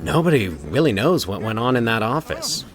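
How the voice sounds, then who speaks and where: A man playing a weary, measured doctor explaining things in a dramatic story reading. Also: mid-range voice, very animated, a crowd in the background, natural voice. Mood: resigned.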